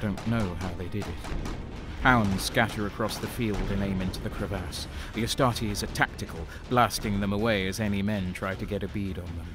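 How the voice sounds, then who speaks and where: A grim renegade soldier, played by a man, tells his war story in a fairly low voice. It is first-person audio drama narration of brutal combat, with music running clearly behind the words. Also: fairly even intonation, moderate pace, lightly acted. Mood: grim, tense. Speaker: narrator and main character.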